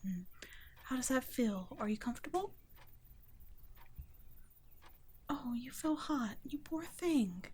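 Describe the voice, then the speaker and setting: A woman playing a gentle, protective vampire caretaker in an intimate ASMR roleplay, speaking softly and breathily, often nearly whispering. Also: high voice, animated, normal speed, slight background noise. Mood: tender, concerned. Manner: soft, breathy, tender, often dropping to a whisper.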